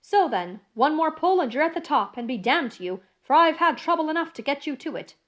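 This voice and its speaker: A blustering male coachman from a classic storybook , performed by a woman reader. He is rough-spoken, easily vexed and wary, and tends to exclaim. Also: high-pitched, expressive, fairly quick, a bit bright, voice pushed up. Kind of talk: audiobook character voice.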